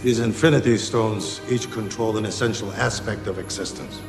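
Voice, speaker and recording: An actor playing a solemn mystic in a film, explaining cosmic lore with grave deliberation. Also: male voice, fairly low pitch, steady tone, normal speed, music in the background, close to an everyday voice. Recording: film clip with score behind.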